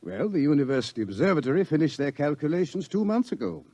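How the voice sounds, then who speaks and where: An ominous scientist in a lo-fi radio drama. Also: male voice, on the high side, sing-song, fast, dark tone, no room sound. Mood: grave, ominous. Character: authoritative older male scientist explaining impending doom. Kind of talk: old radio drama acting. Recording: dull lo-fi old radio recording.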